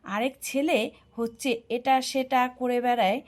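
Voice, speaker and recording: A woman plays a weary cartoon housewife and mother. Her voice is high, and she scolds and nags in a bossy way. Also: steady tone, measured pace, natural voice. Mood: fed up. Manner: nagging, bossy. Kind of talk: cartoon voice acting.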